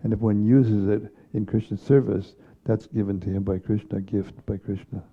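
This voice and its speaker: Husky, gravelly low-voiced man. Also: level tone, moderate pace, muffled recording. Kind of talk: informal religious instruction.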